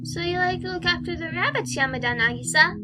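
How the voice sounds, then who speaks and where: A woman's voice: very high-pitched, fast, loud music behind the voice. A woman playing an eccentric, childlike schoolgirl in an audio drama, with a whimsical, theatrical way of talking. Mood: playful. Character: eccentric, childlike schoolgirl who tells fanciful lies.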